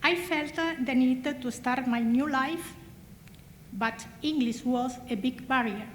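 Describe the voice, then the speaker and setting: High-voiced woman speaking slowly. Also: slight background noise. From Cuba. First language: Spanish. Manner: slow and careful, with hesitant fillers. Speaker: graduating adult ESL student. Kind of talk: prepared graduation speech. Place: large hall. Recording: echoey hall with long reverb.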